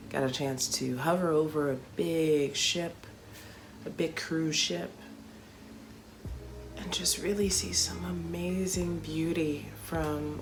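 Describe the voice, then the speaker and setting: A woman from Chicago, a comedian, narrating a travel story in a chatty, animated storytelling way with playful asides. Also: mid-range voice. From Chicago, United States.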